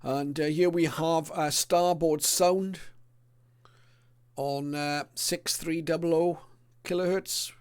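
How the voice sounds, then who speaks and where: A middle-aged or older man presenting a casual illustrated hobby talk in a hesitant, unscripted way with frequent pauses. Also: fairly high pitch, fairly even intonation, clear, resonant tone.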